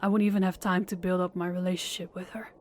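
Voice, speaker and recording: A woman's voice: on the low side, flat delivery, fast talker, a little mellow, slight background noise, lightly acted. A woman voicing a polite, desperate young woman pleading with a crime boss in an audio roleplay, speaking formally and deferentially. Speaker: voice actor and channel host.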